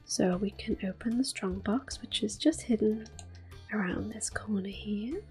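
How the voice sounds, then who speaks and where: A woman hosting ASMR gameplay, talking softly and gently with quiet laughs. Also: mid-range voice, unhurried, soft background music. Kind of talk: whispered ASMR gameplay commentary.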